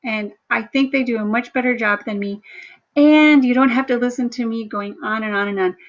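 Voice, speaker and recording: A woman instructor talking through a screen-share workshop in a chatty, self-deprecating way, with a low, somewhat monotone voice. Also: moderate pace, muffled recording. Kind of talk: screen-share tutorial narration.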